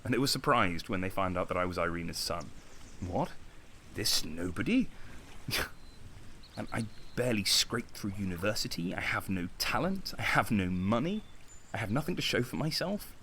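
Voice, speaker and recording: An actor playing a bitter, insecure young man in a naturalistic audio drama, speaking intensely with dramatic pauses. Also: lowish voice, lively intonation, sharp tone, slight background noise. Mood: wounded, self-pitying. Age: young adult. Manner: intense, agitated, self-pitying, with dramatic pauses. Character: young man, Konstantin, bitter, insecure, impassioned would-be artist.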